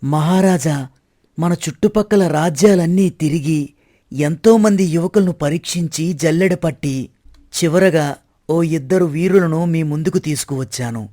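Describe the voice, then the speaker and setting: Man playing a soft-toned, highish royal minister. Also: unhurried, no room sound, studio quality. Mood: respectful, proud. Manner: respectful, declamatory, formal. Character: deferential, formal royal minister praising and presenting candidates. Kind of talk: dramatized moral story acting.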